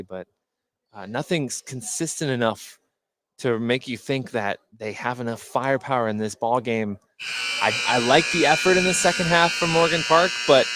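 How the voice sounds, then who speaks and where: A man giving unscripted color analysis during a live basketball broadcast, conversational and feeling his way through his phrasing. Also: medium pitch. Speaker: color commentator.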